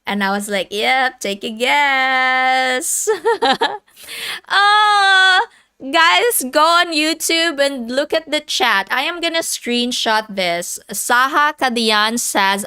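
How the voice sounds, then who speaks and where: A woman's voice: high-pitched, animated, slow pace, sharp tone, clean tone. A woman in her thirties hosting a casual livestream, chatting with viewers, laughing often and reading the chat aloud. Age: 30s. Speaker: livestream host.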